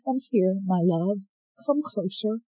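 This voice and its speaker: A reader voicing a frail, injured old grandmother who speaks weakly and pleads through tears, pitched well above the reader's own voice. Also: expressive, slow, warm tone, husky, rough recording. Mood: pleading weakly. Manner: weak, pleading, breaking.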